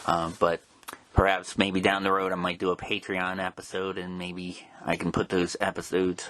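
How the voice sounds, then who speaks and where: A man's voice: low-pitched, monotone, moderate pace, a bit bright. A man hosting a comics show, talking casually through introductions and announcements.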